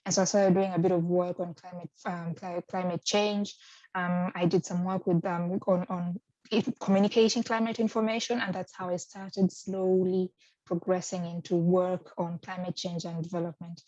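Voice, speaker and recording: A woman from Kenya in her thirties answers interview questions with care, often pausing and circling back to rephrase. Her voice sits low, with a flat, mellow tone. The recording sounds dry and muffled, like a lo-fi video call. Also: no room sound. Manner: hesitant, many ums and restarts. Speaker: research fellow, interviewee.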